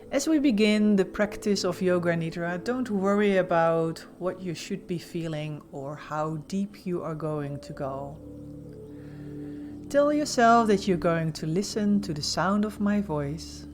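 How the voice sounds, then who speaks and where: A woman leading a guided meditation as an instructor, giving gentle, soothing, softly spoken instructions with pauses. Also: fairly low pitch, soft background music.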